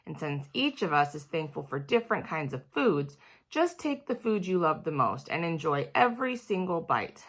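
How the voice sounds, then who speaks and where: A woman voicing a kindly male kindergarten teacher in a storybook, addressing his class in a measured, announcing manner. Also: low voice, very animated, exaggerated voice. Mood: pleased announcing.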